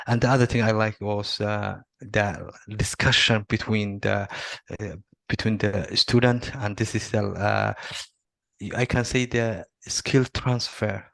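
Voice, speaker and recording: A middle-aged man from Somalia, not a native English speaker, answering interview questions haltingly with many fillers and restarts. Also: lowish voice, steady tone, gravelly, a bit bright, no room sound. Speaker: interviewee, Salesforce admin. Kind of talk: video interview.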